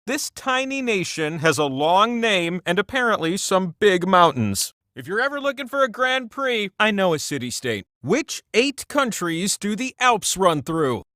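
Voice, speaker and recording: Casting: talking quiz-show wheel for a comedy game. Playful, smug know-it-all, theatrical and highly animated delivery, bone-dry studio sound. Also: male voice, dry recording, exaggerated voice. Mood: playful, hammy. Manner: theatrical, wry, mock-pompous asides. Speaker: trivia game host. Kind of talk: comic quiz-game hosting.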